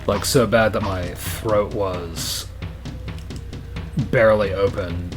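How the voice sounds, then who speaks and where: Man, lowish voice, casual gaming chat, game music clearly behind. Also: steady tone, takes it slowly. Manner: thinks aloud, short replies. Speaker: let's play co-host. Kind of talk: casual chat while playing.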